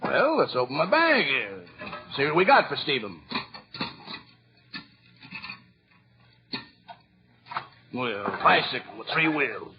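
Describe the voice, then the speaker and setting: A man's voice: mid-range voice, very animated, moderate pace. An actor in a 1940s radio drama playing a kind-hearted, teasing young American man who plays Santa. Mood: bluffing, cheerful. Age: young adult. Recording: muffled old 1940s radio recording.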